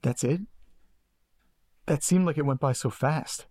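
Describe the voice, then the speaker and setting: A man's voice: on the high side, a little slow, a little mellow, no echo, clean studio sound, natural voice. A man playing an uncertain but curious character in an audio drama, tentative and thinking aloud. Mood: surprised, tentative. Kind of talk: scripted audio drama dialogue.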